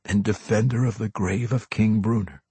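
A man voicing a stern, noble elf warrior in a fantasy story, speaking in a formal, measured and challenging way. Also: on the low side, steady tone, warm tone, breathy edge, no room sound, pitched above the performer's own voice.

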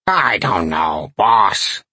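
A man voicing a glum, wary imp demon sidekick with a low, raspy voice and a hesitant, deferential manner, for an audiobook. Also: very animated, drawn-out words, bright, forceful tone, no room sound, full character voice. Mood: doubtful, wary.

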